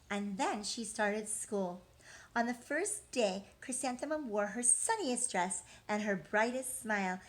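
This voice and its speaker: A woman's voice: fairly high pitch, a bit bright. A woman reading a picture book aloud to young children like a teacher, expressively, drawing out key words and checking in with her listeners.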